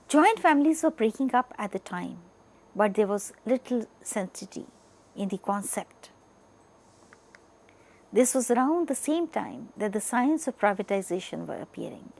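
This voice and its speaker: A woman's voice: mid-range voice, creaky, a little mellow, low-quality recording. A woman with an Indian accent giving scripted educational narration as a media education presenter.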